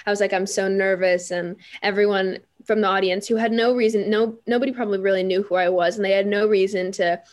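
A teenage girl, a young musician, telling stories in an interview in a chatty, animated way with many fillers and restarts. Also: mid-range voice, fast talker, hardly any pauses. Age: teens. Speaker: guest musician. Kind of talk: interview storytelling.

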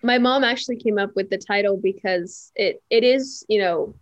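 A teenage girl talks as a guest in an interview, her voice sitting at an ordinary middle pitch. She tells her stories in a chatty, animated way, stumbling over restarts and fillers as she goes. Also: normal speed, muffled recording. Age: teens. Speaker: guest musician. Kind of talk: interview storytelling.

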